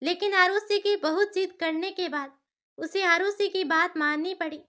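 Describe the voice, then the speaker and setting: Female narrator for dramatic story, very high-pitched, bright delivery. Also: steady tone, moderate pace.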